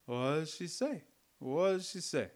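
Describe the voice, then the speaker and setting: A man's voice: a little high, expressive, unhurried, a little hiss or hum. A man playing a stubborn, tipsy American drinking pal in a radio play, slangy and challenging, with an American accent. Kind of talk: old-time radio drama acting.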